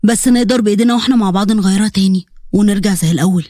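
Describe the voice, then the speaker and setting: Audio-story suitor: protective young Egyptian male, lowered pitch, rapid delivery, dry sound female performer. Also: mellow, dry recording, natural voice. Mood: earnest, pleading. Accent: Egyptian Arabic. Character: young man, protective, earnest, lovelorn suitor.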